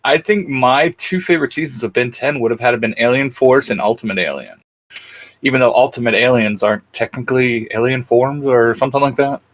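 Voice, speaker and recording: A man's voice: mid-range voice, fairly quick. A man co-hosting a casual fan chat, giving his opinions in a hesitant, joking manner. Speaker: co-host of a fan discussion show.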